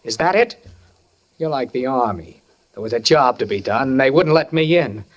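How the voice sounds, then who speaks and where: A man's voice: medium pitch, expressive, normal speed, highs cut off, natural voice. Actor as touchy, paranoid young handyman. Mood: brooding resentment. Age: young adult. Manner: prickly, accusing, intense. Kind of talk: 1940s radio suspense acting.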